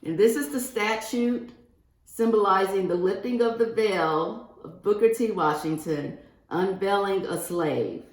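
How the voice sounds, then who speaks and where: A woman reads a children's book to a young class in an expressive, teacherly way, turning to the listeners with asides and questions. Her voice sits at an average pitch for a woman. The sound is roomy and echoing, as in a remote reading from indoors. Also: measured pace. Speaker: guest reader for a second-grade class. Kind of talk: read-aloud of a children's picture book with asides to pupils.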